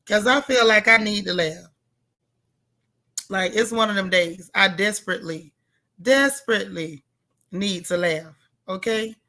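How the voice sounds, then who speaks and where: A woman hosting a casual live recap chat, with a loud, gravelly, hoarse voice, greeting viewers by name with folksy slang. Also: medium pitch, unhurried, pauses often. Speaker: livestream host.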